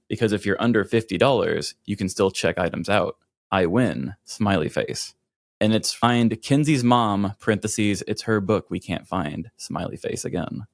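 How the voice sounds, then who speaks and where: Playful man, slightly low, dry sound. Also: no echo. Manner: playful, irreverent. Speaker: podcast co-host. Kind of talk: casual comedic banter.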